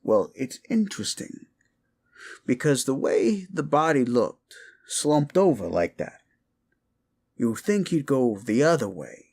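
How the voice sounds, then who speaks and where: A man's voice: medium pitch, very animated, slow, a little mellow, exaggerated voice. A man playing a folksy, rumpled older homicide detective in a roleplay audio, rambling absent-mindedly and probing politely. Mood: musing, speculative. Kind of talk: roleplay ASMR audio drama.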